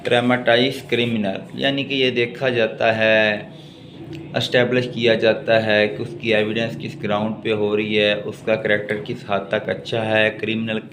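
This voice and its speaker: A man with a Pakistani accent giving a law lecture, reading out legal text and explaining it in a deliberate, halting way. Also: on the low side, fairly bright tone, slight background noise.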